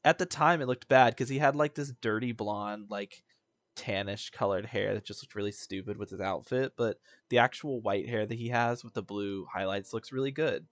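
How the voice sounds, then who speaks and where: A man's voice: fairly low pitch, moderate pace, dry recording. A man doing casual, opinionated reaction commentary as a streamer, talking in a slangy, rambling way.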